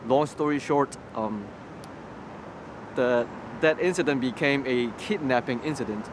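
A man's voice: medium pitch, unhurried. A young man from Hong Kong, a Cantonese native speaker, telling his story in an interview on a city street, with many restarts and repeated words. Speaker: interview guest. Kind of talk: personal testimony interview. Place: outdoors on a city street by a graffiti wall. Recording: street noise in the background. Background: city traffic noise.

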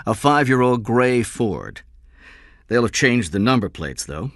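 A man playing a steady senior police officer in an audiobook, businesslike and authoritative. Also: medium pitch, a little slow, no echo, studio quality, pitched above the performer's own voice.

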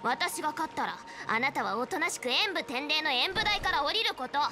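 A woman's voice: sits high, animated, a little fast, over loud music. An actress playing a proud, competitive young swordswoman in a game, speaking bluntly and boastfully.

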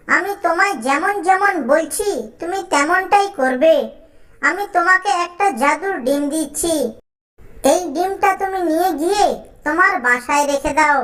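A wise cartoon owl sorcerer with a very high voice, performed by a woman. The owl speaks in a reassuring, instructive manner, as a magical adviser would. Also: a bit bright. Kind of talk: children's cartoon voice acting.

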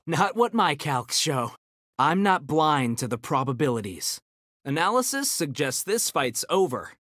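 A man's voice: fairly high pitch, fairly bright tone, no echo, clean studio sound. A man voicing a brainy, cocky young ninja turtle in a video game, with an American accent and nerdy, quippy confidence. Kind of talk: video game character battle banter.

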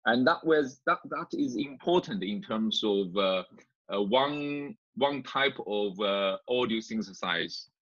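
A man giving an unscripted online tutorial as a university lecturer, explaining in a halting, hesitant way over a video call. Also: fairly low pitch, expressive, a bit bright. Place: indoors, online class via screen share. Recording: dull, roomy video-call audio.

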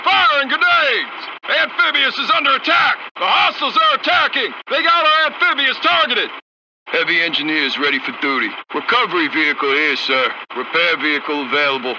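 A man's voice: fairly high pitch, dull audio, noisy background, natural voice. An actor playing a dutiful, eager armored vehicle crewman, giving clipped military callouts for a video game. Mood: dutiful, ready. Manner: clipped, forceful military delivery.

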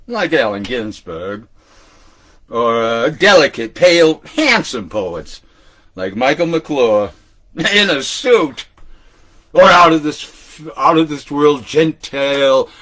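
An older man delivers a dramatic monologue as a beat-era storyteller. His voice is bright and fairly high for a man, and he takes his time, halting and stretching words with animated flair. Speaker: solo performer.

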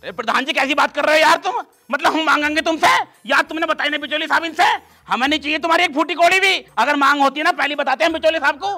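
A very high, sharp comic cartoon voice from a man as a blustering village father with a hot temper. He speaks in a rural western Uttar Pradesh accent, pitched up for effect. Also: quick pace, creaky, hardly any pauses, heavily acted. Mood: pleading, earnest. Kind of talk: comic skit acting in rustic dialect.

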